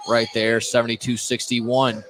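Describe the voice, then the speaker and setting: A man doing live play-by-play of a high school basketball game on radio, with casual, loose phrasing and repeated words. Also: completely flat delivery, normal speed. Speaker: play-by-play sports announcer. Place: indoor high school gym during a game. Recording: live gym broadcast, clear background noise.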